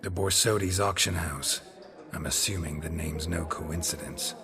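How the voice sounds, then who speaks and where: Male actor as gruff monster hunter for fantasy game: extremely low voice, laconic and deadpan. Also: moderate pace, crowd noise, lightly acted. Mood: dry, wry.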